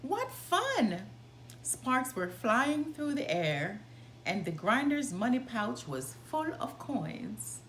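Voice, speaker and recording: A woman reading a children's story aloud in an expressive, deliberate way. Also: fairly high pitch. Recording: roomy sound, background noise.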